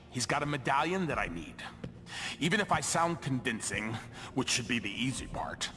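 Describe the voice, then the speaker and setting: An actor playing a shrewd male body double of a duke in a video game, speaking in a measured, wry, theatrical way. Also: medium pitch, pushed and bright, long reverb tail. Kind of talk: video game cutscene acting.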